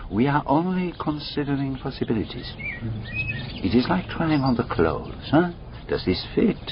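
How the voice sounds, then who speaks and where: An actor playing a shrewd, methodical foreign detective in a radio drama, deliberate and courteous, musing aloud in rhetorical questions. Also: male voice, on the high side, wildly swooping pitch. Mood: pondering, explaining. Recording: dull radio recording, clearly noisy background.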